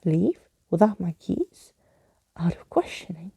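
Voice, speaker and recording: A woman voicing a fretful, pompous living skeleton in a softly read storybook, with wordy, indignant old-fashioned phrasing. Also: fairly low pitch, very animated, measured pace, breathy edge, no echo, clean studio sound, full character voice. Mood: alarmed.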